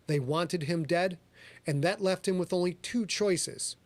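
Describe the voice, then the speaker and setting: A man hosting a livestreamed podcast, reading his own fiction aloud and chatting in a quick, energetic, profane, joking way. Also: high voice, no room sound. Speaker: author and podcast host. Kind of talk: livestream chat and story reading. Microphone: livestream camera setup.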